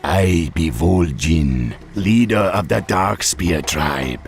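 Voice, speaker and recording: An actor playing a wily troll tribe chieftain in a fantasy game, with a gravelly Caribbean accent and a drawling, commanding manner. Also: male voice, low voice, animated, slow, exaggerated voice. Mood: urgent, grave. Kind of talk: fantasy game character acting. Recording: music under the voice.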